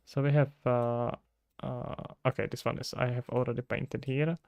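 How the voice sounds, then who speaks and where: A man's voice: medium pitch, little pitch movement, normal speed, gravelly, a little mellow, no echo. A Czech-accented man streaming game development, thinking aloud and answering chat questions casually. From Czech Republic. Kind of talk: casual live-stream chat while working.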